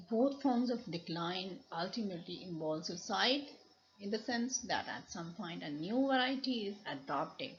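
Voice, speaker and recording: A woman's voice: mid-range voice, fairly bright tone. A middle-aged woman with a Pakistani accent and Punjabi as her first language, lecturing from slides and repeating key phrases as she explains. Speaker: university lecturer. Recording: dull lo-fi home recording with noise.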